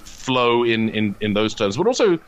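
A man's voice: mid-range voice, very animated, moderate pace, rough recording. A British man from the UK talking casually as an interview guest, explaining his writing in a self-deprecating way. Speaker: guest, comedy writer.

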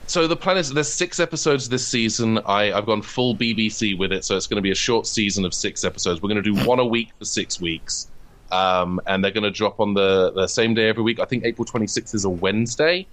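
A man's voice: on the low side, fast talker, a bit bright. A British man from the UK talking casually as an interview guest, explaining his writing in a self-deprecating way. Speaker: guest, comedy writer.

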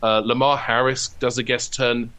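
A man speaking casually as an interview guest, earnest and halting as he repeats his phrases. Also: mid-range voice, little pitch movement, a bit bright, no echo. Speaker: guest, comedian and writer.

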